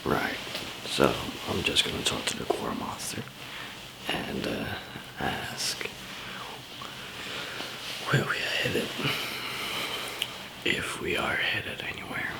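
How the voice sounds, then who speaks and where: Man, ASMR roleplay, half-whispered, weary easygoing survivor, breathy, hesitant, rambling, widely varying intonation. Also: very animated, slow pace, pauses often, low-quality recording. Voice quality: breathy, low murmur. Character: weary, easygoing male zombie apocalypse survivor. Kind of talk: ASMR roleplay, improvised soft murmur and whisper.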